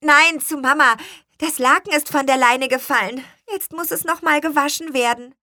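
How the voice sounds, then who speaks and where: A woman's voice: sits high, normal speed, bright, forceful tone, no room sound, studio quality, pitched above the performer's own voice. A woman voicing eager, matter-of-fact young children in a children's storybook, in a direct manner. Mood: explaining. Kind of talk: children's storybook character reading.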